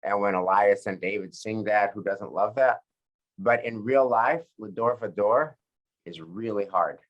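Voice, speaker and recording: A man leading a study group as rabbi, talking in a thoughtful, conversational way with frequent pauses and restarts. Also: lowish voice, highs cut off. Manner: thoughtful, many fillers and restarts. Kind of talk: conversational teaching discussion.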